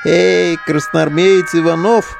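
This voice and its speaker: An actor in a modern audio drama playing a foul-mouthed, mocking relic digger who talks in coarse slang. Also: male voice, fairly high pitch, fairly even intonation, slow, gravelly, music in the background, full character voice. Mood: jeering amusement. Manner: slangy, coarse, jeering banter.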